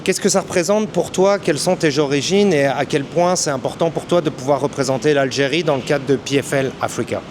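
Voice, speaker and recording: A man's voice: on the high side, a little fast, hardly any pauses, soft background music. A man hosting a French-language podcast interview, asking long questions with frequent fillers. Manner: rambling questions with many fillers. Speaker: podcast host. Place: boxing gym.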